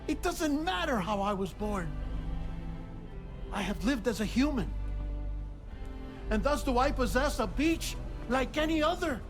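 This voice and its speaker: An actor playing an earnest, dying doctor in a video game cutscene, confessing in deliberate, formal phrases with pauses. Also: male voice, extremely high pitch, lively intonation, music in the background. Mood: defiant, fervent. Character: earnest, world-weary male doctor made of transplanted organs, dying. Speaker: doctor and researcher.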